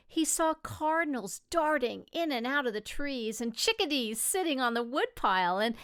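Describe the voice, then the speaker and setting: A woman reading a children's picture book aloud expressively, with gentle delivery and dramatic pauses. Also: on the high side, clean tone. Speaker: storybook reader.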